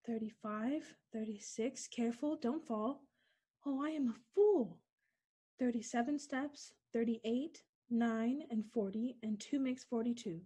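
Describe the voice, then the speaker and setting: A woman's voice: fairly high pitch, a little slow, dark tone, slightly rough. A woman playing a frightened young woman alone at night, talking anxiously to herself in a theatrical old radio drama style. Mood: nervous self-soothing. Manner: anxious self-talk, theatrical. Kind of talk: 1940s radio play acting. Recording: hissy, noisy recording.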